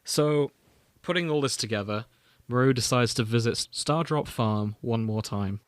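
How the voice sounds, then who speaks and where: A man's voice: mid-range voice, normal speed, dry recording. Man reading a story, dry close recording. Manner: storytelling, alternating read-out prose with casual asides. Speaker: podcast host. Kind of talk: reading and summarizing fan fiction.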